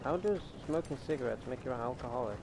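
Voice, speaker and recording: A man's voice: mid-range voice, lively intonation, fast, noisy background. A young man hosting a live game stream, chatting casually and speaking straight to his viewers. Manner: laid-back, talks straight to his viewers. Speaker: streamer host.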